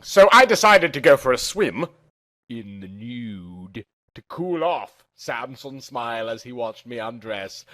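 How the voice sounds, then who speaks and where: A man's voice: medium pitch, fairly bright tone, dry recording. A man narrating a first-person story in a theatrical, dramatic amateur reading style.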